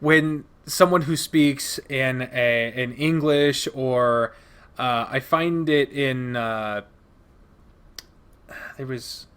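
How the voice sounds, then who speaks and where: A man with a Midwestern American accent hosts a relaxed podcast conversation. His voice sits at an ordinary middle pitch. He swears casually and halts often with "uh" while he searches for words. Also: clear, resonant tone. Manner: casual, swears, many "uh" pauses.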